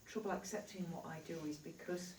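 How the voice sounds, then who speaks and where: A woman's voice: lowish voice, steady tone, brisk, a little mellow, recorded from a distance, slight background noise. A woman with a Yorkshire accent, a healer giving a chatty, reassuring talk to a live audience. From Yorkshire, England. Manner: chatty, reassuring, laughs easily. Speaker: spiritual healer. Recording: echoey hall recorded from afar.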